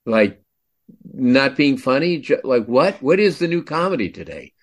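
A man's voice: mid-range voice, big pitch swings, normal speed. A man hosting a podcast, chatting casually and telling a story with incredulous asides. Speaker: podcast host. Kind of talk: casual interview chat.

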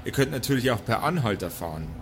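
A man improvising narration as game master of a tabletop audio game, with theatrical delivery and deliberate pauses. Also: mid-range voice, big pitch swings. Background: background music.

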